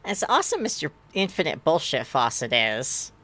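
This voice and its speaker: A woman's voice: sits low, sing-song, normal speed, a bit bright, heavily acted. A woman voicing an irritable, awkward, sarcastic adolescent boy in an amateur fan fiction reading. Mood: forced cheer.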